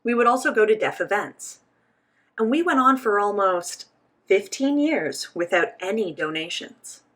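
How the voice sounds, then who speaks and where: A woman with a husky, slightly breathy voice at a middle pitch. She voices a signed interview in the first person for both the host and the guest. Her delivery is measured and steady.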